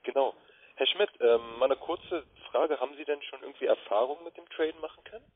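A man works through a sales call on the telephone. His voice sits at an average pitch. The line is muffled and lo-fi. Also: brisk, slightly rough. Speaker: trading sales caller. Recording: roomy, muffled lo-fi phone line.

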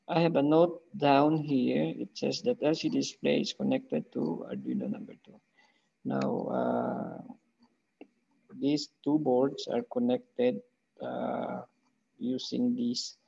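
A middle-aged man with a deeply gravelly, somewhat husky voice talks through an electronics project on his channel. He is methodical and keeps pausing to ask "okay?" His voice sits at a mellow, dark tone, and the desk-mic recording sounds dull and muffled. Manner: methodical, frequent "okay?" check-ins. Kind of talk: screen-share technical walkthrough.

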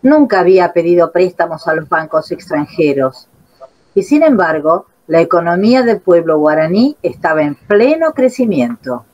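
A woman narrating a radio drama in a steady, serious tone. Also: on the low side, normal speed, highs cut off.

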